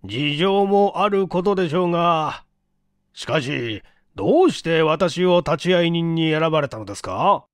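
A man's voice: on the high side, animated, measured pace, no echo. A man voicing a composed police chief in an audiobook, with a formal, businesslike manner. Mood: doubtful, courteous.

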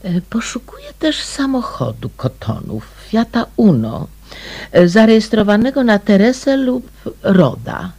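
A woman's voice: mid-range voice, sing-song, a little slow, dark tone. An actress playing a polite, persistent lady amateur sleuth in a radio drama, courteous and a little hesitant as she makes inquiries. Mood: earnest.

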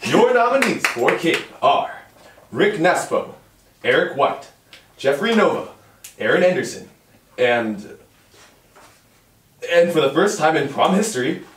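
Far from the mic in an echoey room, a young man acts out an excitable prom DJ. The voice is highish and swoops widely, stretching out each announcement slowly for suspense. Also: a little hiss or hum. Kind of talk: hyped announcing at a script read-through. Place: home dining room. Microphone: far camera mic.

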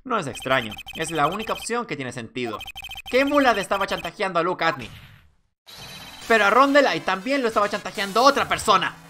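A man's voice: high-pitched, very animated, brisk, sharp tone, soft background music, exaggerated voice. A man voicing an earnest, determined young male defense attorney in a video game, speaking firmly. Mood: insistent. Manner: firm, declarative.

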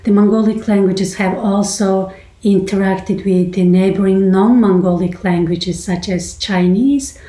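A woman in her forties hosting and explaining a language topic in a measured, academic way, recorded on a laptop in a small room. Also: mid-range voice, fairly even intonation, warm tone. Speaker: host. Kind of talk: scripted explainer lecture. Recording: roomy echo.

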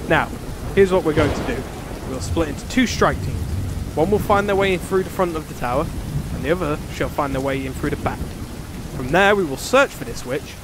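A man's voice: a little high, fairly quick, background noise, natural voice. A man playing a pompous, self-important leader of adventurers in a comedy fantasy audio drama. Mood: commanding. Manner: pompous, officious. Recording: rain and thunder behind.

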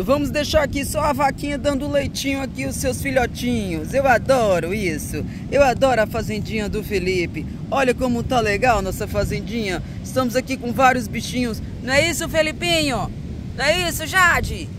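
A woman narrating children's toy play outdoors in a sing-song, animated way, with playful sound effects, recorded on a phone. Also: a little high, moderate pace. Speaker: host of a kids' toy channel. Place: outdoors on grass in a beach park. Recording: outdoor noise with passing traffic.

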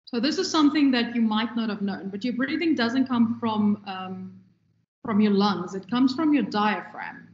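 A woman giving a measured, instructive lecture on radio presenting, with a trained, loud voice and frequent ums. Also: mid-range voice. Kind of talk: recorded lecture. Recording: echoey, dull lo-fi room recording.

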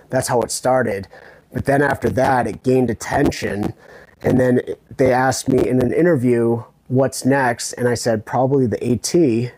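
A man in his thirties from New Hampshire answers as an interview guest in a conversational way. His voice is medium in pitch. The recording is dry and close, from a clip-on mic indoors. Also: a little mellow, no room sound, rough recording. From New Hampshire, United States. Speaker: interview guest, thru-hiker and army veteran. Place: small hotel room, indoors. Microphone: clip-on lavalier on the chest.

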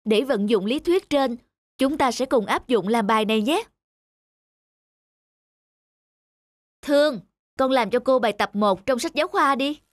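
A woman voicing a young, kindly schoolteacher in a cartoon, measured and tender. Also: fairly high pitch, expressive, no echo, clean studio sound, close to an everyday voice. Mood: mildly reproachful. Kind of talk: cartoon voice acting. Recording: loud music behind the voice.

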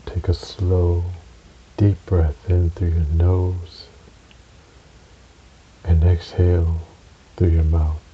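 A man narrating a guided relaxation meditation in a soothing, measured way, with long pauses between short phrases. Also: low voice, little pitch movement. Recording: dull recording with audible hiss.

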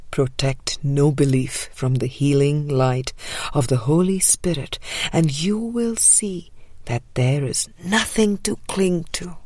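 A woman narrates a spiritual audiobook in a low, husky, breathy voice. She reads slowly and gently, in a measured, meditative way, letting phrases fall away into near-whispers. The sound is close and dry. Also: dry recording. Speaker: audiobook reader.

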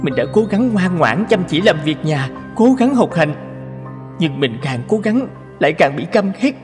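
A man's voice: on the high side, very animated, moderate pace. A man voicing a sorrowful, mistreated young orphan in a cartoon fairy tale, earnest and lamenting. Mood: anguished. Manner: lamenting, plaintive. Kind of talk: animated fairy-tale dubbing. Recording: music under the voice.

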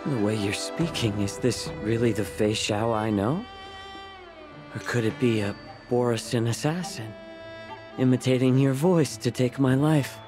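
A man playing a gentle, wry, quietly stoic healer in an anime game, soft-spoken and courteous. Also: medium pitch, measured pace, over music, lightly acted. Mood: teasing.

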